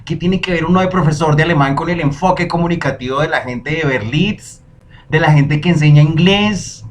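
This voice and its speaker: A young man hosting a solo podcast, talking in a lively, emphatic way and dropping in foreign phrases. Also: fairly high pitch. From Colombia. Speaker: podcast host.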